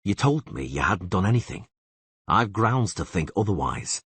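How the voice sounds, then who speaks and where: Man as a low, gruff, stern monster hunter. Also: very animated, moderate pace, a bit bright, no echo, exaggerated voice. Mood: cold, demanding. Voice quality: low, growling. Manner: terse, cold, commanding. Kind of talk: audiobook character acting.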